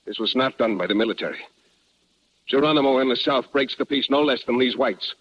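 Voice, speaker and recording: An actor playing a proud, resolute chief in a 1950s radio drama, with formal, measured phrasing and no contractions. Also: male voice, medium pitch, natural voice. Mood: commanding. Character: proud, resolute Apache chief, grave and principled. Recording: muffled vintage radio broadcast.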